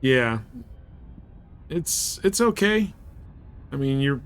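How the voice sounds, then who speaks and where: A man's voice: mid-range voice, lively intonation, takes it slowly. A man chatting casually and in a rambling, laid-back way as he streams a game. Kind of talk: casual chat while playing.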